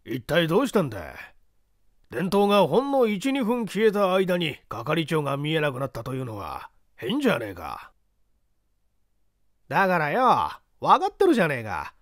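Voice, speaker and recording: A man voicing rough rustic construction laborers in a dramatic audiobook reading, with blunt colloquial speech. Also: a little high, wildly swooping pitch, moderate pace, no room sound, clean studio sound. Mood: suspicious, accusing. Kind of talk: dramatic audiobook character acting.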